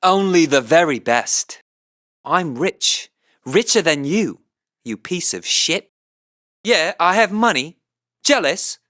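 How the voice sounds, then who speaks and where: Game character, brash street guy, slangy, slow drawn-out delivery, very dry close recording. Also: on the high side, lively intonation, sharp tone, no room sound, exaggerated voice. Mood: smug, arrogant. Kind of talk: video game voice lines, short barks.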